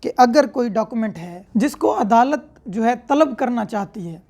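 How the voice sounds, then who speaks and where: A woman's voice: fairly high pitch, lively intonation, normal speed, a little mellow. A woman with a Pakistani accent lecturing as a law teacher, explaining step by step and repeating points in other words. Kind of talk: explanatory lecture, reading English statute and explaining it in Urdu.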